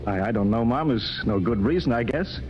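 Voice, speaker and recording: An actor playing a skeptical spaceship captain in an old radio drama, reasoning aloud with a hesitant delivery. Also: male voice, mid-range voice, lively intonation, fairly quick, dull audio, lightly acted. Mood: polite, hesitant. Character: skeptical male spaceship captain, polite son back home. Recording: old radio broadcast under loud music. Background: loud music.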